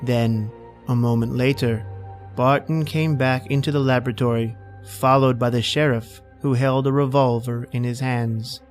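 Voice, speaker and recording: A man's voice: medium pitch, steady tone, normal speed, soft background music, natural voice. A man reading scripted suspense narration as the narrator of an old-time radio horror play.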